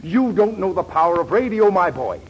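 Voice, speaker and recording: An actor playing a pushy, flattering advertising salesman in a 1940s radio comedy. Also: high-pitched, normal speed, slightly rough, muffled recording, heavily acted. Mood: flattering, persuasive. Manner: glib, flattering sales patter. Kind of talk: 1940s radio sitcom acting. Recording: noisy old 1940s radio broadcast.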